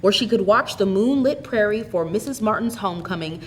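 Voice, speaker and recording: A woman reading a short story aloud as an expressive, scripted narrator. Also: medium pitch, fairly quick, a little hiss or hum. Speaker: story reader.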